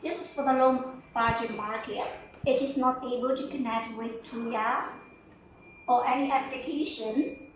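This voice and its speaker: A woman giving a spoken product walkthrough as a sales representative, in simple, halting phrases with repetitions. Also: on the high side, steady tone. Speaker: company sales representative giving a showroom tour. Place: indoor product showroom. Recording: echoey room, distant mic, faint noise.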